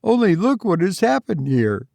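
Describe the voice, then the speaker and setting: A frightened, tearful mother from a storybook, voiced by a man. Her voice sits high and pleads anxiously, always on the edge of weeping. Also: sing-song, moderate pace, vocal fry, darkish tone, no echo, exaggerated voice.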